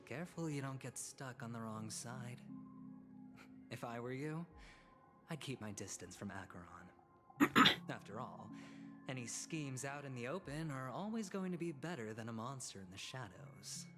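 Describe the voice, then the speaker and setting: An actor playing a smooth, scheming young corporate negotiator and gambler in a video game, with sly, teasing pauses. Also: male voice, mid-range voice, expressive, music in the background, close to an everyday voice. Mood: sly warning.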